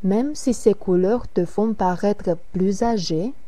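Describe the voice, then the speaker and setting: A woman's voice: medium pitch, takes it slowly, dark tone, no echo. A woman reading the part of Marie, an adult woman chatting about clothes and taste, in a careful, scripted lesson dialogue. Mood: matter-of-fact. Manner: careful, evenly paced reading.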